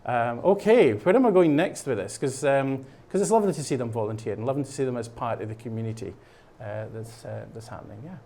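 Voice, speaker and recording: A man's voice: mid-range voice, fast talker, dark tone. Scottish man chatting with many fillers. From Scotland. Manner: rambling, many fillers and restarts. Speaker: guest. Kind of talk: casual chat. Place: studio while painting.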